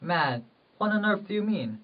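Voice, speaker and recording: A man playing an anguished, impulsive rural husband in love, fervent and halting, in an amateur drama. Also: sits high, sing-song. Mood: troubled longing. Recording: dull, distant microphone.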